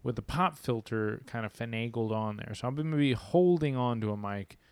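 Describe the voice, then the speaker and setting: A young man hosts a casual podcast, holding a handheld mic. His voice is gravelly but smooth, and the sound is dry and close. He rambles, restarts and swears casually as he addresses the audience. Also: medium pitch, brisk, a little mellow, no echo. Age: young adult. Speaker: podcast host. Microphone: handheld mic with pop filter.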